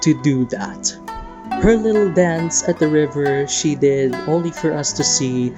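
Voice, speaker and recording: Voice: medium pitch, unhurried. A young adult narrating a personal, sentimental video essay about a game in an earnest way. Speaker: video creator and host. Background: guitar music.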